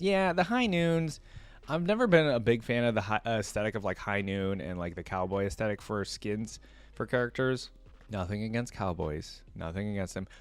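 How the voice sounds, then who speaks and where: A man's voice: lowish voice, smooth. A man giving casual reaction commentary, thinking aloud in informal, slangy language. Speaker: reaction video creator.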